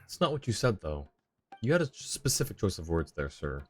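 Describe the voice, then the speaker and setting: A man's voice: deep voice, very animated, brisk. A man doing casual live game commentary, reading dialogue aloud and adding wry, rambling asides. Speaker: game streamer. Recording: game music behind the voice.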